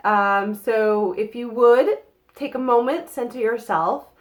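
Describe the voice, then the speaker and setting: A young woman with a cold and a slightly nasal voice, giving a casual card reading to her viewers with lots of fillers and quick "okay?" checks. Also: a little high. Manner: chatty, many fillers and tag questions. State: has a cold. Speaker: psychic card reader. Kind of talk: casual tarot-style reading to camera.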